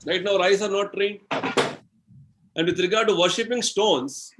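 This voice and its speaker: A man with an Indian English accent explaining spiritual ideas in a devotional lecture, slow and explanatory, using everyday examples and many "you know"s. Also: high-pitched, a bit bright. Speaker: spiritual speaker answering questions.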